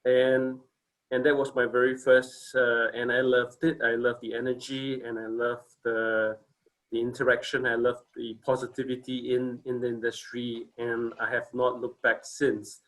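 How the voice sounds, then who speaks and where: A man's voice: medium pitch, flat delivery, moderate pace, a bit bright, low-quality recording. A man in his forties from Singapore, giving a casual company presentation on a video call, hesitant with many fillers and restarts.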